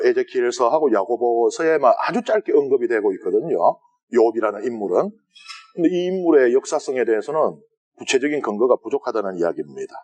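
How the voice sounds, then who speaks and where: A man with a Gyeongsang Korean accent lectures on scripture as a priest in a church sanctuary. His pitch sits mid-range for a man. He talks in a chatty, conversational way and keeps turning questions to the listeners. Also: darkish tone. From South Korea. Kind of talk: lenten Bible lecture to a congregation.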